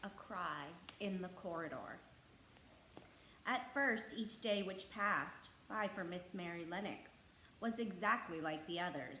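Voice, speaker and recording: Female storybook narrator, mid pitch, expressive read-aloud, roomy echo. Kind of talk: scripted storybook narration for children. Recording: echoey room with faint background noise.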